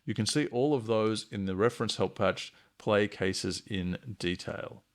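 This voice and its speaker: A man narrating a software tutorial in a measured, instructional way. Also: lowish voice. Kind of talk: explanatory screencast tutorial narration.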